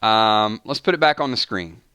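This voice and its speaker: A man hosting a casual fan live stream, his voice bright and forward with a smooth, clean tone. He keeps cutting himself off to react to the chat, tossing out "hold on" and "wait a minute" as he goes. The sound is close and dry, with no room around it. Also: fairly low pitch, normal speed, dry recording. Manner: animated, breaks off mid-sentence with repeated "hold on" and "wait a minute". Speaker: fan channel host.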